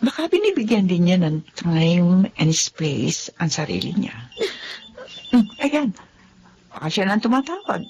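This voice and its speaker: A woman playing a caring, advice-giving woman in a radio drama. Her voice is mid-pitched and lilting, with lively rises and falls, and she sounds gentle and reassuring over a faint hiss. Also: unhurried, lo-fi sound. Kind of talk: Filipino radio drama acting.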